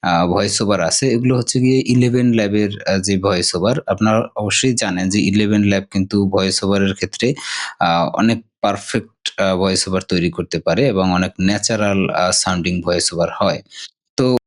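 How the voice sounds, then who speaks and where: Low-pitched man giving a tutorial. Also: moderate pace. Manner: explanatory, often checks understanding with short tag questions. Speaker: software tutorial host. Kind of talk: casual screen-share tutorial.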